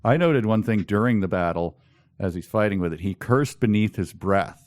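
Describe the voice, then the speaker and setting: A man co-hosting a casual, humorous discussion of a book, speaking in a wry, amused and halting way. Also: lowish voice, expressive, a little mellow, dry recording. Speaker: podcast co-host.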